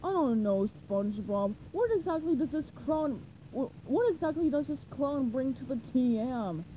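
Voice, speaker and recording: A woman's voice: sits high, lively intonation, normal speed, lo-fi sound, a little hiss or hum. Woman reading as a tiny, grumpy male cartoon plankton. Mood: grumbling. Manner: grumbling, skeptical.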